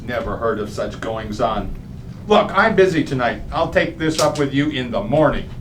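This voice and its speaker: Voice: mid-range voice, lively intonation, normal speed, slight background noise, close to an everyday voice. A performer playing an exasperated, blustering father who sputters indignantly in an old-time radio comedy.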